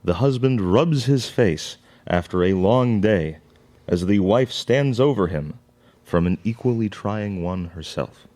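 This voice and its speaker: A man narrates a radio play with a very low voice. His delivery is measured and formal, yet his intonation rises and falls expressively. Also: expressive, mellow. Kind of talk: scripted narration of stage directions.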